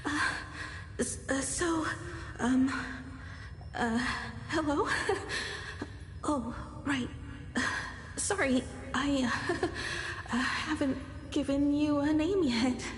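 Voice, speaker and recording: A woman playing a frail, earnest young woman inventor in anime game style, shyly sweet, with a hesitant, soft manner. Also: high voice, extremely slow, pauses often, a little hiss or hum. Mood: flustered, awed.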